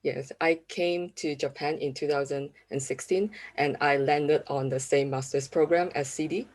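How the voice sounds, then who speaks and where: A woman in her thirties from Malaysia, giving a conference presentation in a polite, steady way with hesitant fillers. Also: deep voice, moderate pace. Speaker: university lecturer presenting research.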